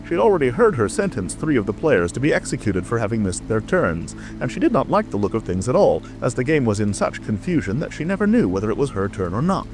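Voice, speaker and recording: A man's voice: mid-range voice, very animated, fast talker, hardly any pauses, poor audio. A man narrating a storybook as a dramatic, scripted audiobook reading. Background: music.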